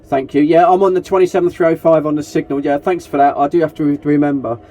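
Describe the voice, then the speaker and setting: A man's voice: a little high, steady tone, fast, warm tone. A man chatting casually over CB radio as a group net operator, matey and polite, using call signs and radio jargon. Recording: noisy CB radio transmission with hiss.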